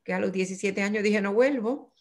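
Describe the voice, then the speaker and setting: An older woman with a Puerto Rican Spanish accent talks about her life in a remote interview. Her delivery is reflective and unhurried, and she often restarts her sentences. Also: mid-range voice, a little mellow. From Puerto Rico.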